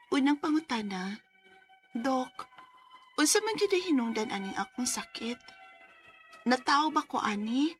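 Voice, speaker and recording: A woman's voice: a little high, close to an everyday voice. A woman playing a sickly young daughter in a radio drama, plaintive and emotional, with a Filipino Bisaya accent. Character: sickly young daughter, anguished and pleading, narrating her story.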